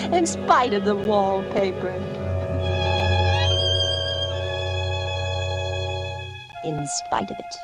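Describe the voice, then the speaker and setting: A woman's voice: mid-range voice, big pitch swings, slow, over loud music. An actress playing an anxious, secretive young wife losing her mind in a suspense radio drama, with a dramatic delivery that drops toward whispers. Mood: slyly secretive. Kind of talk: vintage suspense radio drama acting, first-person narration.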